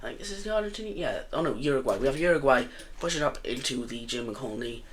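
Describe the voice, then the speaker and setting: A man with a croaky voice narrates a map simulation in a loose, casual way. He talks quickly, stumbling through fillers and correcting himself as he goes, over a faint background hiss. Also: mid-range voice, lively intonation. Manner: rambling, many fillers and self-corrections. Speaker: video host.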